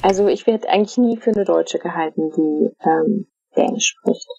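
A middle-aged woman from Germany, answering interview questions in a casual, thoughtful way, with many fillers and pauses. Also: fairly low pitch, warm tone, slightly rough, dry recording. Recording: dull, dry lo-fi recording.

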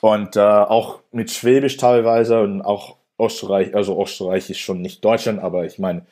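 A young man from New York with an American accent, his voice sitting fairly low. He talks in German, his second language, halting and restarting often and filling gaps with "äh". It comes across as relaxed interview chat. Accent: American English. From New York, USA. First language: English. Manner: hesitant, many restarts and fillers. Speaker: interview guest.

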